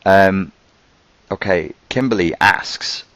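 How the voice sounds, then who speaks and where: A man's voice: low voice, takes it slowly, a bit bright, no echo, rough recording. A man from Merseyside with a toned-down Scouse accent, hosting a live online Q&A and chatting loosely as he answers viewer questions. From Merseyside, England. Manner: conversational and loose, thinking aloud.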